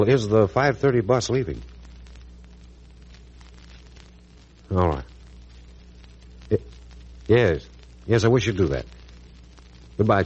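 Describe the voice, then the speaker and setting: An earnest American doctor in an old radio serial. His voice is very low and husky, and he sounds like a stubborn, troubled husband who cannot rest. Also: a little mellow, poor audio. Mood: anxious. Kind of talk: 1940s radio acting. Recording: old 1940s radio recording.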